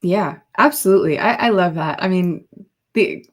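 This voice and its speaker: A woman's voice: lowish voice, moderate pace. A woman hosting a podcast, interviewing in a casual, informal and affirming conversational way.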